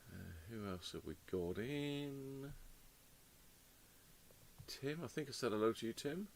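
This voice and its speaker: Chatty man, hoarse with a cold. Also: mid-range voice, expressive, takes it slowly, a little hiss or hum. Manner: chatty, rambling, greets viewers by name. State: slightly hoarse with a cold and cough. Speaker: host of a live radio repair stream. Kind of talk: casual live-stream chat greeting viewers. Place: home workshop. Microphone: desk microphone.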